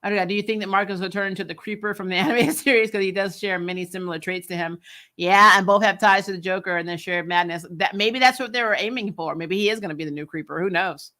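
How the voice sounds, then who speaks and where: A woman's voice: medium pitch, fast, bright, forceful tone. A woman giving casual, candid fan commentary as a recap host, in a sassy, emphatic and colloquial way.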